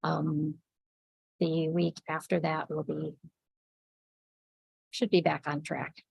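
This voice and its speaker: Gravelly woman chatting with viewers. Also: low voice, flat delivery, takes it slowly, a little mellow, poor audio. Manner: chatty, reads out viewer comments by name, many ums. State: sniffly and a little raspy from a cold. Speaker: yarn shop owner hosting a weekly livestream. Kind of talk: casual chat with viewers.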